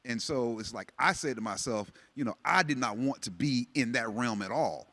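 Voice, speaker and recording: A man's voice: medium pitch, lively intonation, normal speed, sharp tone. A man born in Jamaica and raised in the United States, giving earnest, reflective personal testimony on a panel. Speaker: relationship panel guest.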